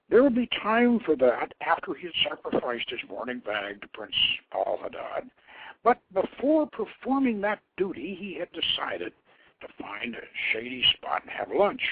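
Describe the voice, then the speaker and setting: Animated male storyteller on a tinny line. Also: mid-range voice, slightly rough. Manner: theatrical, deliberate phrasing. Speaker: storyteller, show host. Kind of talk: dramatic story reading. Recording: tinny phone-line internet radio.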